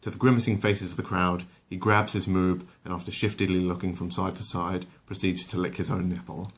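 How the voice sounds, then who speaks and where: A man's voice: sits low, flat delivery, fairly quick, breathy edge. A man reading scripted comic story narration in a wry, wordy, mock-literary way.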